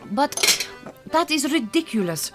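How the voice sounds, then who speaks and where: A woman's voice: high voice, big pitch swings, a little slow, over music. An actress playing a proud, reserved middle-aged Hungarian woman in a radio drama, with a Hungarian accent and careful, formal phrasing. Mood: frank, proud. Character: glamorous, proud, reserved Hungarian migrant woman, grieving mother.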